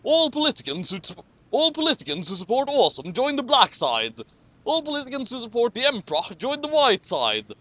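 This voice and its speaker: A man's voice: very high-pitched, very animated, fairly quick, bright, forceful tone, no room sound, pitched above the performer's own voice, exaggerated voice. A man voicing a pompous president who declares grand verdicts in a declamatory manner. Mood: commanding.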